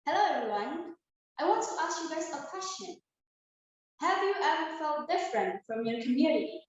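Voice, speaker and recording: A woman's voice: high-pitched, animated, moderate pace, heavy reverb, muffled recording, a little hiss or hum. A young woman from Indonesia giving an earnest, prepared inspirational speech as a student, talking straight to her audience over a video call. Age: young adult. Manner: earnest, addresses audience directly. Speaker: student speaker.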